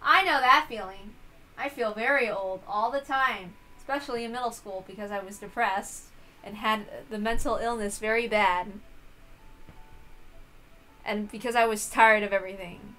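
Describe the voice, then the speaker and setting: A woman reading a visual novel aloud, with expressive narration and casual asides. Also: mid-range voice, moderate pace, poor audio. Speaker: reader of a visual novel playthrough.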